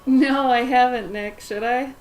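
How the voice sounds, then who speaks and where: Young woman, raspy voice, pitched a little high; chatty livestream artist, soft music underneath. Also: steady tone, unhurried, poor audio. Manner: chatty, rambling, talks to her dog and to chat. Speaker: livestreaming pet portrait artist. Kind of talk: casual chat while drawing on a livestream. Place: home art studio with plastic bins.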